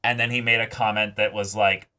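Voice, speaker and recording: A man's voice: lowish voice, level tone, brisk, bright, forceful tone. A man co-hosting a reaction show, talking through an episode in an informal, rambling way. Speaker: co-host of an anime reaction show. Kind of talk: casual discussion of an anime episode.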